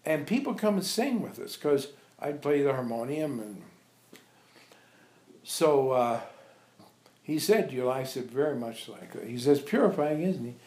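A man informally reminiscing to visitors as a spiritual teacher, telling animated anecdotes and acting out remembered dialogue. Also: mid-range voice, normal speed, long pauses. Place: echoey indoor room at an ashram. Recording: roomy, echoey sound.

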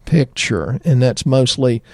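A middle-aged man with a Southern American accent, a doctor chatting in a folksy, rambling way about health, his voice slightly hoarse. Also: medium pitch, slow, no room sound, clean studio sound. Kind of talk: health talk show chat.

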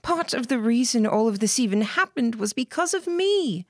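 A woman's voice: a little high, animated, normal speed, no echo, clean studio sound, voice pushed up. A woman voicing a resolute, earnest, self-sacrificing girl in an audiobook. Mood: remorseful.

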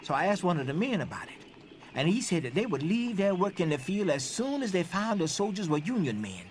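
A man acting an earnest Civil War era witness recounting escapes in a theatrical dramatic reading, in an animated storytelling manner. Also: high voice, slight background noise. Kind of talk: theatrical first-person testimony, dramatic reading.

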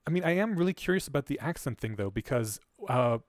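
A man whose first language was Polish, talking casually as a podcast co-host. He sounds curious and chatty, with a mid pitch. The recording is dry and close to the mic. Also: lively intonation, fast talker, no room sound. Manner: curious, chatty, thinks out loud. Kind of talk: casual discussion.

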